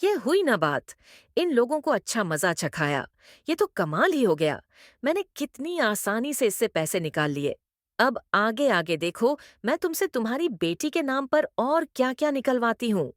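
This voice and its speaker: A woman's voice: on the high side, sing-song, moderate pace, dry recording, clean studio sound. A woman voicing a greedy, domineering middle-aged mother-in-law in a cartoon moral story, with an emphatic, theatrical delivery. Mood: gloating.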